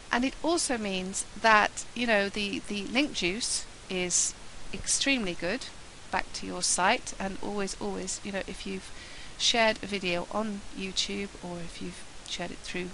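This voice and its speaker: A woman with a husky voice from a flu talks in a chatty, upbeat way, restarting a phrase now and then. Her voice sits at an ordinary middle pitch. A faint hiss runs under the recording. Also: fairly bright tone, low-quality recording. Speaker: marketing presenter. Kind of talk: solo talk to camera.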